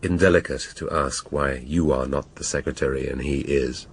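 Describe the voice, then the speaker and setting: Deep-voiced, wry English police inspector. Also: male voice, steady tone, a little hiss or hum. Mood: probing, formal. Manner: dry, sardonic, deliberate. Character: middle-aged male police inspector, wry, shrewd, authoritative. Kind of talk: naturalistic radio drama acting.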